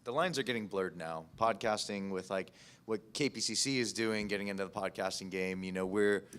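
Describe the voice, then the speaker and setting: A man's voice: lowish voice, fairly quick, a bit bright, clear, resonant tone. An American man speaking informally and conversationally as a podcast producer on a panel. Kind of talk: casual panel discussion.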